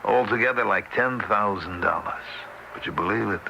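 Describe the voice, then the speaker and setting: An actor playing a weary, wry, sympathetic middle-aged commuter in an old-time radio drama, talking conversationally. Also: male voice, lowish voice, moderate pace, gravelly, muffled recording, a little hiss or hum.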